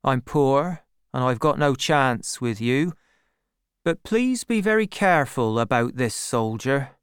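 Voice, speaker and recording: A reader voicing an earnest, worried farmer, a lovesick man who speaks in a measured, pleading way. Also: medium pitch, lively intonation, clean tone, no echo, clean studio sound. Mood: worried, sad.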